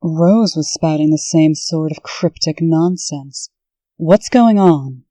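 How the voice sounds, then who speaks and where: A woman performs a bewildered fairy-tale heroine for a comic dub. Her low voice is slow and dry-recorded, with a stern, exasperated edge. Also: warm tone, no echo. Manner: exasperated, demanding. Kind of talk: comic-book dub acting.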